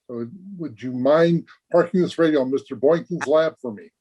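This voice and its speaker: An actor playing an earnest, guileless teenage high school boy, chatting eagerly in an old-time radio comedy. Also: normal speed, darkish tone, muffled recording, close to an everyday voice. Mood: coaxing. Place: remote recording over video call.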